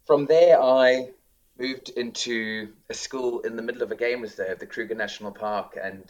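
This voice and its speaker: A man's voice: medium pitch, steady tone, normal speed, muffled recording. A man in his thirties with a South African accent, talking casually as an interview guest with many ums and restarts. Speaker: director of drama, guest.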